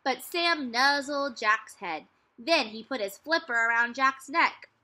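Bright, high-voiced young woman reading a storybook. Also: expressive, a little slow. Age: young adult. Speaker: teacher reading aloud to second graders. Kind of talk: children's storybook read-aloud. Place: furnished room at home, indoors.

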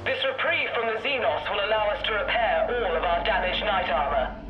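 An actress playing a noble, dutiful knight leader in a video game, speaking earnestly and formally. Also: female voice, medium pitch, a little fast, lo-fi sound, over loud music, close to an everyday voice. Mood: weary, grateful. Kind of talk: dramatic video game acting.

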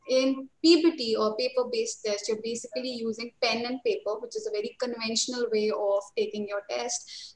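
A young woman with an Indian English accent, teaching a webinar as a trainer and explaining steadily and reassuringly over a video call. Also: on the high side. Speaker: IELTS trainer. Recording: roomy video call audio.

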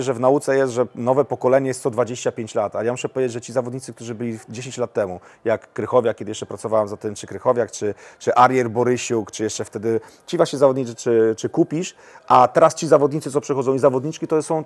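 A man in his forties talks quickly in a seated interview in a lounge. He is a football coach and often stumbles, repeats words and restarts mid-sentence. His voice sits at an average pitch for a man. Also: darkish tone. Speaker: national women's team coach. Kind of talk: relaxed interview answers. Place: lounge with armchairs, indoors.

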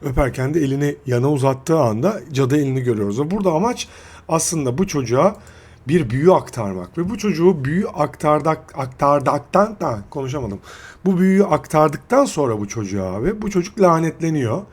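Male film reviewer: slightly high, husky voice, chatty and slangy, stumbles and restarts his sentences. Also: expressive. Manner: halting, self-correcting delivery. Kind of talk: casual, slangy film commentary.

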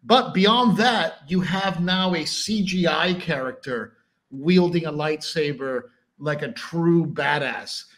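A man's voice: on the high side, slow pace, a bit bright. A man hosting a podcast over a video call, asking eager, enthusiastic questions. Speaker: podcast host. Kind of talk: casual video call interview.

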